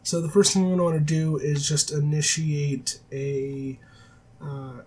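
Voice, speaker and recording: A man with a cold-roughened raspy voice, teaching a coding tutorial over a screen recording with many filler sounds and self-corrections. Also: on the high side, level tone, measured pace, darkish tone, rough recording. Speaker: programming tutor. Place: at a desk, voice-over of screen capture. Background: faint keyboard typing.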